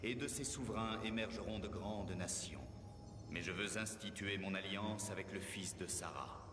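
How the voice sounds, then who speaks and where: A man's voice: low-pitched, normal speed, background noise, lightly acted. Cavernous, majestic divine voice played by a man. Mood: majestic, solemn. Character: solemn, majestic almighty God and his messenger. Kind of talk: dubbed animated Bible drama. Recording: long cavernous reverb, music behind.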